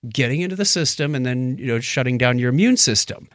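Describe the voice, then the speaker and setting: Animated man with a bright, lively voice. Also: mid-range voice, quick pace, no echo, studio quality. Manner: animated, exclamatory, joking. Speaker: podcast co-host. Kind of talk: casual podcast chat.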